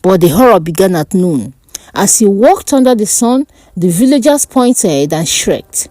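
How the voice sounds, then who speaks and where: Woman telling a spooky folk story, slow n theatrical, swoopy pitch, music behind. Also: big pitch swings, warm tone, no echo. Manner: deliberate, theatrical. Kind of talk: dramatic scripted narration of a dark folk tale.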